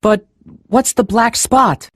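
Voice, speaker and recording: A man's voice: very high-pitched, steady tone, slow, no room sound, voice pushed up, exaggerated voice. A man voicing an earnest young boy.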